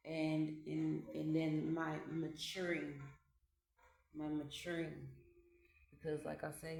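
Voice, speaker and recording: Low-voiced woman musing in an echoey room. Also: a little hiss or hum. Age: 20s. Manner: meandering, repeats phrases, laughs between thoughts. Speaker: vlogger. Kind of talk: reflective casual monologue. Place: home. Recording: echoey room with faint ambience.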